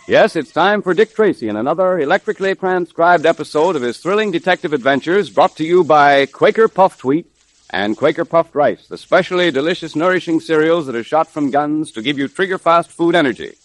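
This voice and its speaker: A man's voice: a little high, animated, fairly quick, no echo, rough recording. A man announcing and narrating a 1930s radio serial in an emphatic, salesmanlike scripted style.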